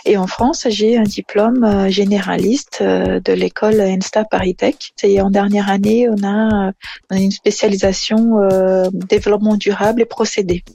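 A woman's voice: mid-range voice, level tone, moderate pace, vocal fry, mellow, husky. A woman from Brazil, an engineering manager answering interview questions hesitantly with many fillers and restarts.

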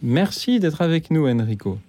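Male radio host, warm, mellow, measured delivery. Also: fairly high pitch, big pitch swings. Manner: measured, gently hesitant. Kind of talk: live radio talk show hosting. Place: radio studio.

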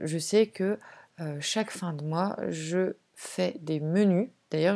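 A woman speaks slowly in a low, mellow voice. She thinks aloud in a casual interview, pausing often and restarting her phrases. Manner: hesitant, many fillers and restarts. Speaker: zero-waste association founder.

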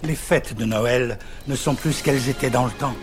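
An actor playing a kindly, nostalgic old Santa in a theatrical Christmas film parody. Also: male voice, medium pitch, moderate pace, over music, lightly acted. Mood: reassuring, nostalgic.

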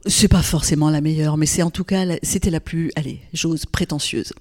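A woman's voice: sits low, fairly even intonation, normal speed, a little mellow. Thoughtful older woman from Lebanon. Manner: thoughtful, frequent restarts and hesitations. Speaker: guest, gynecologist. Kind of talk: reflective interview conversation.

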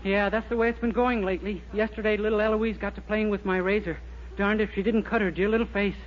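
An American actor playing a naive young husband in a 1940s radio comedy, blithe and matter-of-fact about grim things, on an old recording. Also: male voice, high-pitched, steady tone, fast talker, highs cut off, slight background noise, natural voice. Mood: blithely morbid. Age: young adult. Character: naive young husband feigning suicidal gloom, cheerfully morbid.

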